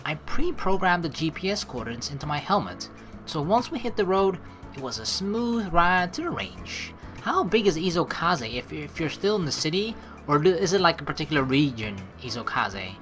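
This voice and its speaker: Chatty woman doing a lets play, pretty low voice but bright and animated, soft game music behind. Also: moderate pace. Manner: chatty, informal, rambling asides. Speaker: let's play host. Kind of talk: game commentary mixed with reading story text aloud.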